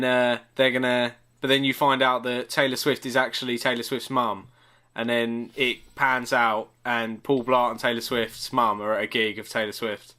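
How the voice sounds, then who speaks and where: A man's voice: mid-range voice, pushed and bright. A man with a British accent in casual, joking banter as a podcast co-host, teasing and mock-serious.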